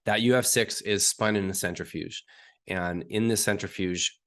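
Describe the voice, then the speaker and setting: A man with a hoarse, raspy voice from being sick, explaining technical detail step by step as an interview guest and often clearing his throat. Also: fairly low pitch, fairly even intonation, moderate pace, a bit bright. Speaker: uranium market analyst guest.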